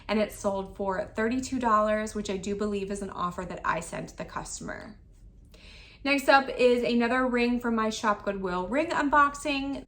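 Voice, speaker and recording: A woman in her thirties who is losing her voice and sounds raspy, running through her online resale sales in a matter-of-fact, item-by-item way. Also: on the high side, a bit bright. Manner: itemized, matter-of-fact listing. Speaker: online reseller. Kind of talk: sales recap vlog.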